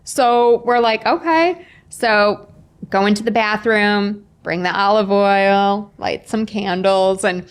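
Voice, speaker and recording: Woman in her 40s, author guest on a podcast, mid pitch, chatty animated storyteller who acts out the dialogue. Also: slow, smooth. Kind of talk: casual anecdotal storytelling. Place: indoors, in-person podcast recording.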